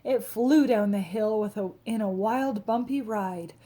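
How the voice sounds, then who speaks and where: A woman reading a rhyming children's picture book aloud, expressively, with dramatic emphasis and drawn-out key words. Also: mid-range voice, dark tone. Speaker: storybook reader.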